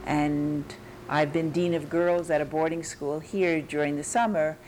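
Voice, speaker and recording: An older American woman with a New York accent, recalling her teaching years in an oral history interview, pausing and restarting as she searches her memory. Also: sits low, fairly even intonation, background noise. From United States.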